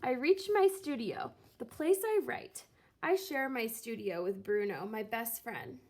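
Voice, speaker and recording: A woman reading a children's book aloud expressively, with theatrical, deliberate phrasing and drawn-out words. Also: on the high side, animated, darkish tone. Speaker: reader of a children's picture book, teacher. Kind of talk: expressive storybook read-aloud.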